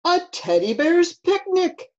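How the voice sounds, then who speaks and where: A woman plays a big hungry bear from a picture book, greedy and booming. The words are stretched out very slowly with relish, and the pitch swoops about. The sound is slightly dull. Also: sing-song, darkish tone, full character voice. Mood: gleeful. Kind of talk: children's picture-book read-aloud.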